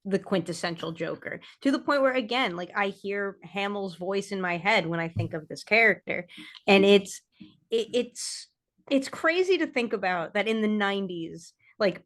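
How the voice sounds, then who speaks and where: A woman's voice: medium pitch, a bit bright. A woman co-hosting a casual discussion podcast, talking emphatically and repeating phrases for stress. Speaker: podcast co-host.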